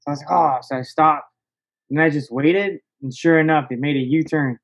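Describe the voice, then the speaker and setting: Male podcast guest, higher-pitched, excitable casual storyteller, slangy and sweary, slightly lo-fi recording. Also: a little fast. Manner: slangy, excitable, profanity-laced, peppered with "bro" and "dog".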